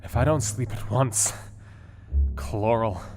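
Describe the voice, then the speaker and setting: A man's voice: medium pitch, slow pace, over music. An actor playing a melancholy, analytical Victorian asylum doctor, dictating his diary in a formal, reflective way in a radio play. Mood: weary.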